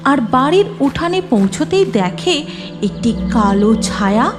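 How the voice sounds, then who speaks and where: A woman's voice: high-pitched, sing-song, a little slow. A woman narrating a scripted cartoon story expressively, with music behind her.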